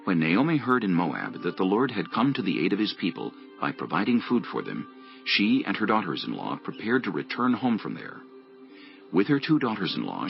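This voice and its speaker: A man's voice: sits low, gravelly. A man narrating scripture from a script as a storyteller between the dialogue. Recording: dull lo-fi audio with music bed.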